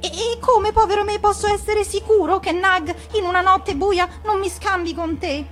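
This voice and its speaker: Timid little muskrat, very high shifted voice, whimpering, pleading, storybook animal. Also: female voice, moderate pace, fairly bright tone, faint music behind. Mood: mournful. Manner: whiny, pleading, fearful.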